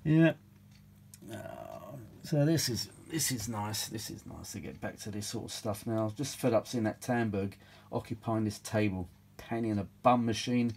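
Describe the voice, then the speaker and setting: British man, lowish pitch, dark soft-edged tone, casual rambling hobbyist chat, weary grumbling, mildly sweary. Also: expressive, measured pace, creaky, slightly rough. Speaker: vintage audio repair hobbyist presenting his own videos.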